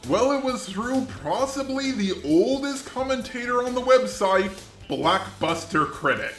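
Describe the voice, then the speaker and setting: A man's voice: very high-pitched, animated, a little slow, a bit bright, roomy sound, faint music behind. A man hosting an internet commentary video, reading a scripted narration. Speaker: commentary channel host.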